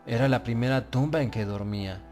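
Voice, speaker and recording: A man narrating a gothic horror tale in the first person, with a solemn, measured literary delivery. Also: medium pitch, dark tone. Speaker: audiobook narrator. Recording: music bed under the voice. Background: prominent music.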